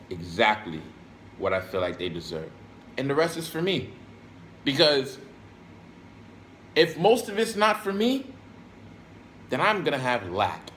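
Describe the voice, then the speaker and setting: Guy doing a blunt self-help vlog ramble, repeats himself to hammer it in, some background noise, mid-pitched voice. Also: fairly bright tone. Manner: emphatic, repeats phrases for stress; blunt, casual, with swearing. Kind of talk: rambling motivational talk to camera.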